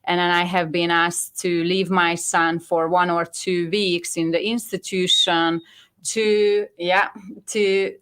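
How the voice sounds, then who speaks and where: A Hungarian woman speaking English with a Hungarian accent, giving an earnest, halting personal testimony as a guest. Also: lowish voice, little pitch movement, fairly bright tone, clear, resonant tone. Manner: earnest, halting non-native phrasing. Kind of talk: personal testimony on a talk show.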